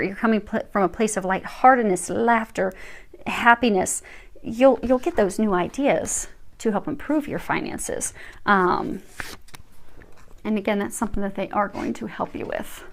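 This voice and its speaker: A woman giving a casual tarot reading, gentle and reassuring, with frequent fillers and restarts. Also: medium pitch. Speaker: tarot reader.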